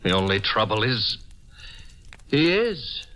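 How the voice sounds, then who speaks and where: An actor playing a brash, wisecracking young detective's assistant with breezy, slangy patter in a 1940s radio drama. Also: male voice, on the low side, very animated, slow, bright, forceful tone, full character voice. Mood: brisk, wry. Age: young adult. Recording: noisy vintage radio recording with clicks.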